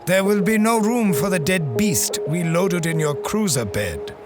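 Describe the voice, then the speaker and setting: An actor playing a wry, sharp-tongued guide in an audio drama with music under him. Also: male voice, high voice, big pitch swings, a little slow. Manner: wry, cutting.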